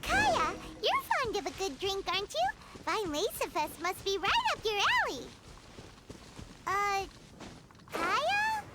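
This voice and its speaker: A woman voicing a tiny, outspoken fairy girl companion in a video game, with a squeaky, childlike, exclamatory delivery. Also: wildly swooping pitch, slow pace, pauses often, heavy background noise, full character voice. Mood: cheerful, curious. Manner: speaks of self in third person, exclamatory.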